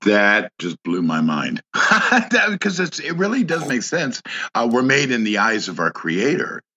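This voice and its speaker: A man joining a podcast as a guest, talking with animated enthusiasm. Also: medium pitch, measured pace, muffled recording. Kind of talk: casual podcast chat.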